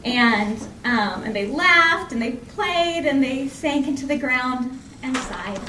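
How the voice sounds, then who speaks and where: A woman telling a folk tale live in an expressive, conversational way, lingering on repeated phrases. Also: sits high, slow pace. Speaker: storyteller. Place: indoor library program before an audience. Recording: echoey room from far off, faint noise.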